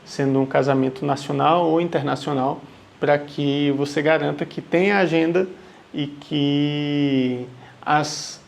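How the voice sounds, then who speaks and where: A man from Salvador in Brazil speaks straight to the camera, answering questions from viewers. His voice sits a little higher than most men's, and his tone is friendly and reassuring. He keeps checking in with the listener as he explains. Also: creaky. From Salvador, Bahia, Brazil. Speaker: live wedding painter.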